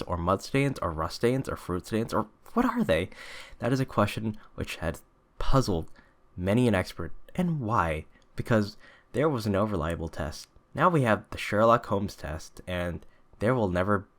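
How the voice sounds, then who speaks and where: Storybook detective, played by a male reader: proud, eccentric, eager, declamatory, low-pitched male. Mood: excited, triumphant.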